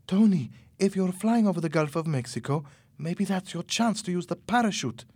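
Guy doing a caring young woman in an audiobook, lifted pitch, soft and earnest. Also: moderate pace, husky, dry recording. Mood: excited, urgent. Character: gentle excited young woman, caring and hopeful. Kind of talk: audiobook character acting.

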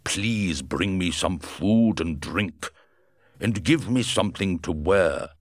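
A man's voice: low voice, expressive, takes it slowly, no echo, studio quality, exaggerated voice. A man voicing a wounded, desperate invisible scientist, strained and pleading.

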